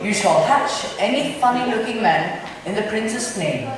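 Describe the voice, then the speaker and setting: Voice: mid-range voice, expressive, measured pace, close to an everyday voice. A student actor performing simplified Shakespeare on stage in an amateur drama club play, delivering lines in an everyday voice. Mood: pompous, instructing. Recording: echoey hall from far off, faint noise.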